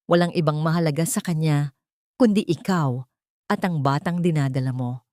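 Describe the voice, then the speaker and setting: A woman voicing a gentle, resolute elderly mother-in-law in a story, tender and reassuring. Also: low-pitched, moderate pace, no room sound, studio quality, natural voice.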